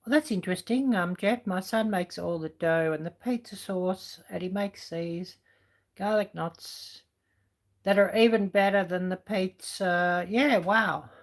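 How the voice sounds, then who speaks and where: A middle-aged woman with a croaky voice chatting casually on a livestream, answering viewers with lots of ums and you knows. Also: mid-range voice, a little slow. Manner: rambling with many fillers, chatty. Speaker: livestream host reading chat. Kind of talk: casual chat.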